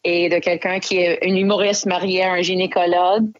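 A woman's voice: on the low side, flat delivery, fairly quick. A woman comedian from Ontario, an English Canadian speaker talking frankly in French and dropping English fillers, in an interview. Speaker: stand-up comedian. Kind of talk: interview answers. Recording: dull lo-fi recording.

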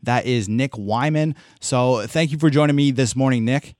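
A man hosts a radio interview in a mid-pitched, ordinary voice. He is recorded close and dry, with no room sound. He asks his questions haltingly, leaning on uh and restarts. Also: a little mellow, no echo. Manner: hesitant, with uh and repeated words.

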